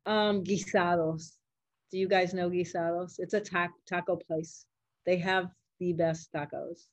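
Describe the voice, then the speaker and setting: Older lady chatting to kids in an interview, lowish voice, encouraging, simple words, really dry sound. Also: flat delivery, unhurried, no echo, muffled recording. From Puerto Rico or Puerto Rican family. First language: Spanish and English. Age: older, a grandmother. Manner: encouraging, simply worded, addressed to children. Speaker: interviewed doctor. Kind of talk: casual interview answers to children.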